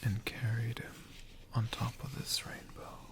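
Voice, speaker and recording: A storyteller whispering a tale for ASMR in a hushed, breathy voice with slow, dramatic pauses. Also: medium pitch, completely flat delivery. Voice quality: breathy whisper with creaky edges.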